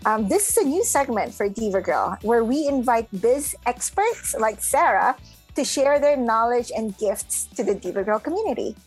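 A woman's voice: fairly high pitch, very animated, normal speed, breathy edge, faint music behind. A woman hosting a live podcast interview, bubbly and emphatic with lots of fillers. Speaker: podcast host.

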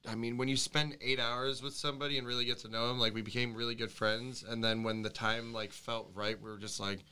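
A man's voice: medium pitch, flat delivery, fairly quick, sharp tone, slight background noise. A man in his thirties chatting casually on a podcast, laid-back and rambling, with lots of fillers and restarts. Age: 30s. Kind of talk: casual chat.

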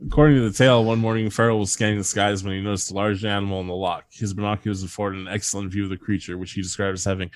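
A man's voice: fairly low pitch, little pitch movement, very fast, hardly any pauses. A man hosting a comedy podcast, reading strange stories aloud and breaking into laughter and asides. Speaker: podcast host reading a countdown list.

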